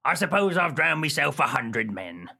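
Raspy, animated one-eyed pirate. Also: male voice, fairly high pitch, normal speed, full character voice. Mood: maudlin. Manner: broad pirate dialect, theatrical. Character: one-eyed male pirate, bloodthirsty yet sentimental. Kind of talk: comic radio play acting.

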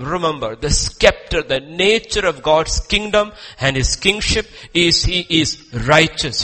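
A man from India delivers a sermon in an Indian accent. His voice is sharp, forceful and slightly high for a man. He declaims loudly, repeats himself for emphasis, and pulls back into quieter, confiding asides. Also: poor audio. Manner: emphatic, rhetorical questions and repetition; swings from loud declamation to hushed asides. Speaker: preacher.

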